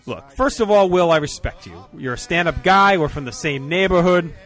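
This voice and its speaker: A man's voice: fairly high pitch, sing-song, moderate pace, fairly bright tone, faint music behind. A man playing a brash, threatening wrestling promoter in a comedy sketch, cocky and combative.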